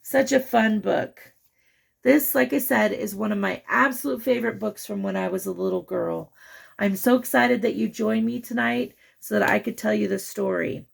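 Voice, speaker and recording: A woman reading a picture book aloud to children, with chatty, expressive asides and questions to the young listener. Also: mid-range voice, moderate pace, gravelly.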